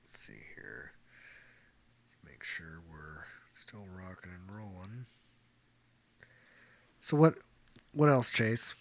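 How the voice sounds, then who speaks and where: Male podcast host, very low voice, easygoing kidding banter. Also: slow pace, pauses often, a little hiss or hum. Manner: joking, relaxed, with chuckles. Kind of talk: casual chat.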